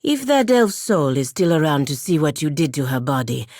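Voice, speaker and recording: A woman voicing a stern, proud female warrior in a video game, speaking formally and bluntly with measured disdain. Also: sing-song, a little mellow, no echo, studio quality, exaggerated voice. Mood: stern, disdainful. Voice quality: very low-pitched voice. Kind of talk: video game voice acting, formal scripted dialogue.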